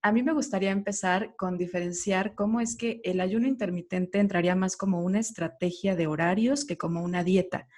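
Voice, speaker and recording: A woman hosting an interview, speaking in a measured, appreciative way. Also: mid-range voice, darkish tone. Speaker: podcast host.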